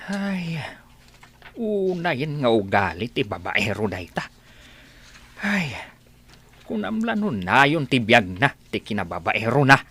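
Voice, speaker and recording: A woman playing a gentle, worried old father, speaking slowly and earnestly with an Ilocano accent in a radio-style drama. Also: very deep voice, sing-song, slightly rough, heavily acted. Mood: weary concern. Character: gentle, worried old father advising his grown child.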